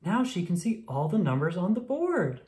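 A woman narrates a picture book for young children. Her voice sits low for a woman, with lively rises and falls, and she paces the sentences clearly. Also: normal speed. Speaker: storybook reader. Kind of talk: children's read-aloud narration.

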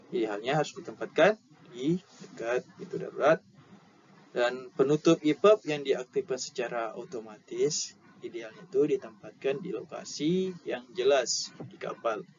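Young Indonesian male instructor, unhurried and halting, explaining slides informally on dull headset audio. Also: medium pitch, darkish tone. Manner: halting, explanatory, repeats key terms. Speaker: maritime safety instructor. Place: indoor room. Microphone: headset at a computer. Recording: dull lo-fi audio with clear background noise.

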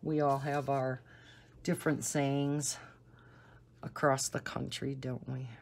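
A woman with a Northern American accent and a low, soft voice, chatting casually and unhurriedly. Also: level tone, gravelly. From northern United States. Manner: unhurried, deliberately slow talking. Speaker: coloring video host. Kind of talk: casual rambling chat while coloring.

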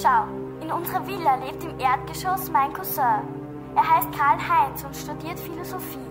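An actress playing an excitable, curious young girl detective in a German children's radio play, telling things earnestly. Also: sits high, moderate pace, over music, close to an everyday voice. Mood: agitated. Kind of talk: German children's audio drama acting.

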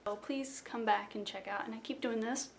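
Young woman vlogger, rambly and self-deprecating, slightly high voice, bedroom webcam sound. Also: fairly even intonation, moderate pace, creaky, slight background noise. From South Florida. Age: young adult. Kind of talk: casual rambling intro vlog. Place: small bedroom indoors. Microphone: webcam at close range.

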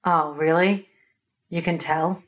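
A woman's voice: on the low side, steady tone, slow. A woman playing a breezy, slightly drunk young baker in a cartoon. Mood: tipsy, breezy. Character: tipsy, breezy young Australian woman who bakes.

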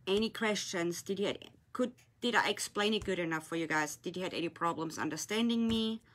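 A woman with a German accent, speaking English as her second language, hosts a live craft tutorial from a tabletop. Her voice is bright and forward, her grammar is loose, and she chats with viewers as she shapes things with her hands. It is picked up by a phone or camera on the desk. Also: on the low side, brisk, slight background noise. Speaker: craft shop owner hosting a live tutorial. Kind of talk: casual live-stream demonstration. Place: indoor craft room, at a glittery table. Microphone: phone or camera on a desk, filming her hands.

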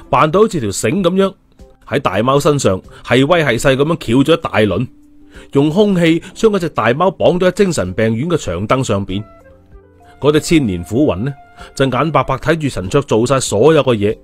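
A man narrating an audiobook story in a storytelling style. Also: mid-range voice.

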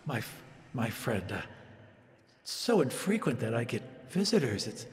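A man voicing a gentle, polite, hesitant tentacled monster in a tabletop game. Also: medium pitch, big pitch swings, unhurried, long reverb tail. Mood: startled, welcoming. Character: gentle, welcoming floating tentacled telepathic monster, eager for company.

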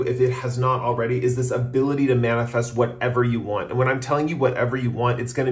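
A man giving a casual, improvised tarot reading, talking in a rambling way full of "like" and "kind of". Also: mid-range voice. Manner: rambling, hedging with frequent "like" and "kind of". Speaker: tarot reader, host. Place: indoors at a tabletop, off camera. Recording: roomy, echoing room sound.

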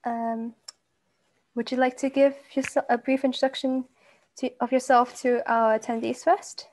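A woman's voice: a little high, level tone, a little slow, a little mellow. A teenage girl hosting a webinar on a video call, polite and partly reading from a script. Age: teens. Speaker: webinar host. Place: home room on a video call. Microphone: laptop mic on video call.

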